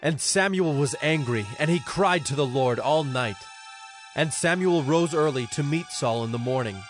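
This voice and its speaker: A man's voice: on the high side, normal speed. Formal male Bible narrator, faint music. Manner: formal, storytelling delivery. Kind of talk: scripted audio Bible narration.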